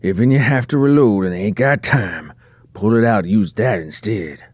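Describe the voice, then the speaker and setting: A man's voice: fairly low pitch, normal speed, a little mellow, no room sound, close to an everyday voice. A man playing a protective, fond pony companion in an audio drama, talking in a folksy drawl full of pet names. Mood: advising, fond.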